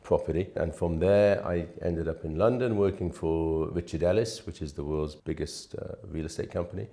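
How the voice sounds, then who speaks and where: Man, fifties, very low and mellow voice, chatty interviewee who rambles through his anecdotes. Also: fairly even intonation, normal speed. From Wales. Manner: chatty, rambling with frequent restarts. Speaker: interviewee, real estate manager. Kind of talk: relaxed anecdotal storytelling.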